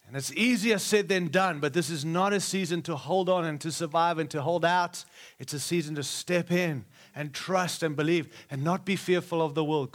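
A middle-aged man, preaching to a live church with repeated, rousing phrases and jokes for the congregation. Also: on the high side. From Australia. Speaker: guest preacher.

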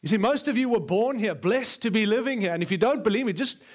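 A man with an Australian accent preaches to a congregation in a church, his voice sitting noticeably high. He is earnest and emphatic, piling up repeated phrases as he drives each point home. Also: lively intonation, fast talker. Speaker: guest preacher. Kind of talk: sermon. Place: large church before a live congregation.

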